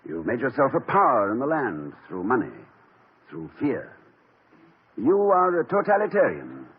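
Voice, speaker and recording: A dignified, wry college president. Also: male voice, medium pitch, wildly swooping pitch, husky, heavily acted. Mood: stern, measured. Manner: formal, measured, dryly witty. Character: erudite, dignified, wry college president, middle-aged man. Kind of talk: 1950s radio drama acting. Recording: dull lo-fi old radio broadcast.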